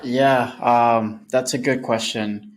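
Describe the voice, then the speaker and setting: A man's voice: mid-range voice, steady tone, slow pace, a little hiss or hum. A man, a Spanish speaker, answering casually and reflectively as a podcast guest. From Venezuela. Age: late 20s.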